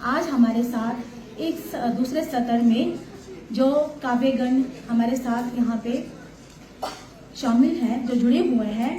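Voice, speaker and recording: A woman's voice: on the high side, slow pace, mellow. A woman reciting a short poem on stage before inviting poets, lyrical and repeating lines. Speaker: stage host. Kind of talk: poetry recitation and announcing. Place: large hall. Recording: echoey hall.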